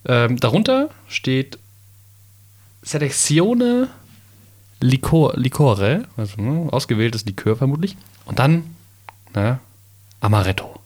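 Halting young man reading a label. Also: medium pitch, sing-song, a little mellow. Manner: slow and halting, many fillers, playful. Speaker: podcast co-host. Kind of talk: casual chat, reading a bottle label aloud.

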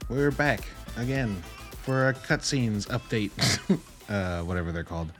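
A man's voice: fairly low pitch, animated, measured pace, a bit bright, faint music behind. A man hosting a casual solo review podcast, talking informally and earnestly, often interrupting himself and rambling with emphasis. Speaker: podcast host reviewing films.

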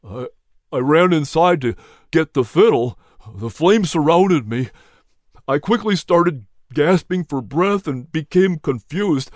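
A man voicing a hurt, weary male hound dog who talks haltingly, in a storybook reading. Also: fairly high pitch, animated, no room sound, clean studio sound, voice pushed up. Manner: halting, dazed, hesitant.

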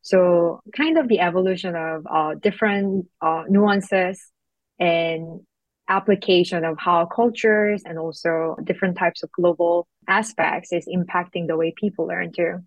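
A woman's voice: fairly low pitch, fairly even intonation, a little slow, dry recording, lo-fi sound. A young woman from South Korea, a guest in an interview, speaking thoughtfully and reflectively with frequent fillers. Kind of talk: interview conversation.

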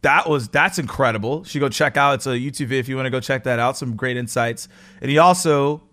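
A man's voice: medium pitch, fast, pushed and bright. Man, interview host, conversational delivery, mid-range voice.

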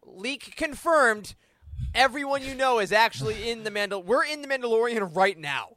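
An American man talking casually as a panelist in a fan discussion, with an animated, joking, emphatic manner. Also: very high voice, normal speed, a little hiss or hum. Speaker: podcast panelist.

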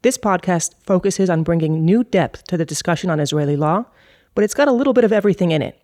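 A woman's voice: fairly low pitch, animated, fast, mellow, dry recording, studio quality. A woman hosting a podcast, with a polished, articulate delivery. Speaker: podcast host. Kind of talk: scripted podcast intro and moderating.

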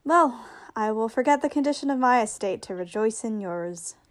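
A witty young noblewoman, played by a woman in a Shakespearean radio drama. Her voice is on the high side, and her delivery is measured, with a wry edge. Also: lively intonation, close to an everyday voice. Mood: wistful. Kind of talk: Shakespearean radio play acting.

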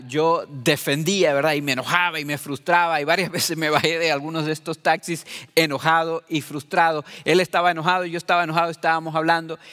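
A middle-aged preacher born in Venezuela speaks with a somewhat raised, light voice, warm in his earnestness toward his listeners. His words carry a long reverb, as if spoken in a large hall. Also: fairly high pitch. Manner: earnest, addresses listeners as beloved. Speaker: pastor. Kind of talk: sermon.

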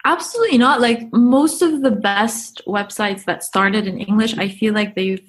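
A woman's voice: medium pitch, normal speed. A young woman from Egypt, a guest chatting casually in an interview, talking with many fillers and restarts. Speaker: guest, YouTuber.

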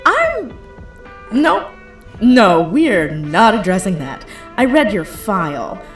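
A woman playing a brisk, sardonic hacker leading a heist in an audio drama, blunt and casual in delivery. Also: mid-range voice, huge pitch swings. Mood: teasing. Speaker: voice actor in a fiction podcast. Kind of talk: naturalistic audio drama acting. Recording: roomy echo, faint music underneath.

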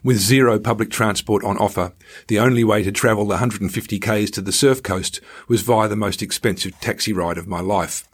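Low-voiced man reading a travel story. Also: fairly even intonation, a little fast, breathy edge. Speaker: writer reading own travel stories. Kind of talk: scripted narration of a travel memoir.

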